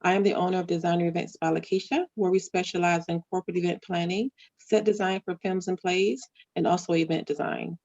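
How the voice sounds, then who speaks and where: A woman with a lowish voice introduces herself in a friendly, relaxed way. Her audio is dry and a little muffled, as on a video call. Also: fairly quick, hardly any pauses, no room sound. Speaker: event planner and mentor. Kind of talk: self-introduction.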